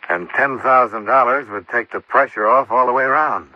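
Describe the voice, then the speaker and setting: An American actor playing a shrewd, blunt insurance investigator in a golden-age radio mystery. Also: male voice, medium pitch, moderate pace, slight background noise, natural voice. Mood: probing, reasoning. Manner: blunt, probing, matter-of-fact. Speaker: lead actor in radio detective drama. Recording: muffled old-time radio recording.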